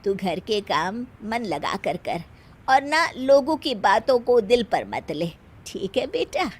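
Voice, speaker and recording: A woman's voice: on the high side, very animated, slow, husky, exaggerated voice. A woman voicing a kindly middle-aged mother-in-law in a cartoon story, affectionate and coaxing. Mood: reassuring.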